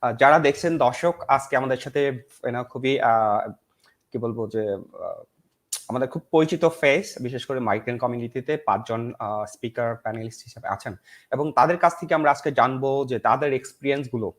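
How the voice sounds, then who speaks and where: A man hosts a livestreamed panel at a very rapid pace. His pitch sits in a middle range, and his talk is packed with fillers and restarts as he moves between English and Bengali. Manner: filler-heavy, switches to fast Bengali. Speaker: host.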